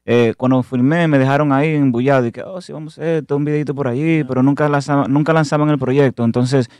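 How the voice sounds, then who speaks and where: Fast-talking Dominican man in his thirties. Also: mid-range voice, dry recording. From Dominican Republic. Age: 30s. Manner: relaxed, slangy, grateful. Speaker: guest singer. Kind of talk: casual interview chat. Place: radio studio. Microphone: studio mic with headphones.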